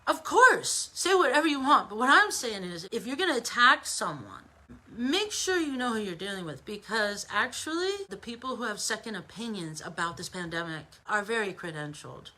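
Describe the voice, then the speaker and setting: A woman's voice: mid-range voice, big pitch swings, normal speed, sharp tone. An American woman giving an informal opinion monologue as an online commentator, emphatic and conversational, with rhetorical asides.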